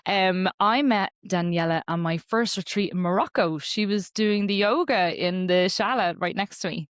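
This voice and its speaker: A woman hosting a healing podcast, soft and affirming, speaking gently to her guest and listeners. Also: mid-range voice, moderate pace, smooth, dry recording, lo-fi sound. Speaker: podcast host and energy practitioner. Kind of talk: gentle scripted intro, then casual chat.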